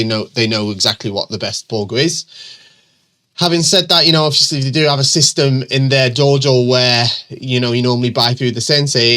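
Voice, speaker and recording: Middle-aged male host with a bunged-up, stuffy voice from a cold; bright, forward tone, casual and rambling. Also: mid-range voice. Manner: chatty, many ums and restarts, reads questions aloud. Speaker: host answering viewer questions. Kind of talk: casual chat.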